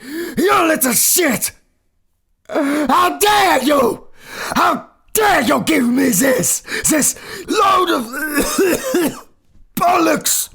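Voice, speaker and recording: A man's voice: fairly high pitch, huge pitch swings, extremely slow, gravelly, long pauses, husky, voice pushed up, heavily acted. A man voicing a volatile, furious older male professor who rants and curses at the top of his voice. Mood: enraged. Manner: ranting, cursing, shouting.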